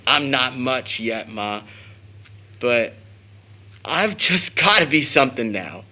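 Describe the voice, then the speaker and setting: An earnest young son in an audio drama. His voice is bright and tense, his pace very slow. He speaks in halting, emotional phrases, full of pride and bitter intensity. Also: medium pitch, expressive, heavily acted. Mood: awed, earnest.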